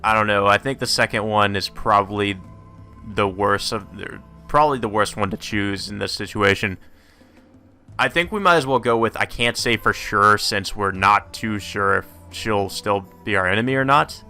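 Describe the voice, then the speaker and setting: Guy reading a visual novel out loud, kinda low crisp-edged voice, casual, game music underneath. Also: moderate pace. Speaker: let's player. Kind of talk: reading game text aloud with casual commentary.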